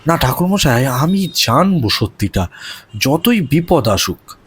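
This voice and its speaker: A man's voice: fairly high pitch, big pitch swings, slow pace, fairly bright tone, a little hiss or hum, voice pushed up, full character voice. A man voicing a determined, curious young seeker in a mystery audio drama, intense and questioning as he muses. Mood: puzzled, resolute.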